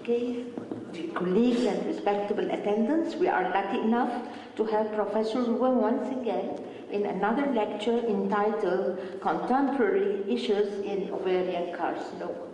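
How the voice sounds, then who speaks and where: A woman's voice: mid-range voice, normal speed, mellow. A woman moderating a session and formally introducing the next lecturer. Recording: echoey hall with background noise.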